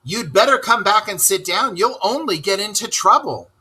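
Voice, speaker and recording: A man's voice: high voice, animated, normal speed, bright, forceful tone, husky, pitched above the performer's own voice, heavily acted. A man voicing a sensible, good-natured but scolding water rat in a children's story, with a reproachful, admonishing manner. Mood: warning. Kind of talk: animated children's storybook character reading.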